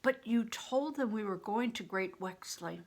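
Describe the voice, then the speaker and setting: A woman's voice: medium pitch, animated, unhurried. An older woman voicing an anxious, earnest orphan boy in a storybook, urgent and questioning. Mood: worried.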